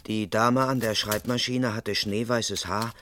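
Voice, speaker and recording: Actor playing an earnest, deadpan police constable. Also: male voice, on the low side, unhurried, background noise, natural voice. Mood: deadpan narrating. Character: earnest, dutiful male police constable, politely flustered. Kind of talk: first-person narration and dialogue in crime radio play.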